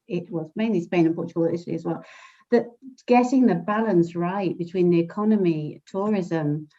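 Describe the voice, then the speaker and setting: A woman on a panel discussion, talking in an animated way and often repeating words as she thinks. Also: lowish voice, moderate pace, highs cut off. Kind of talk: video call panel discussion.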